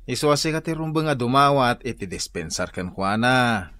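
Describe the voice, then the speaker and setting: A man's voice: mid-range voice, expressive, moderate pace, sharp tone, no echo, studio quality. A man playing an exasperated, chiding village husband in a comedic Ilocano radio drama.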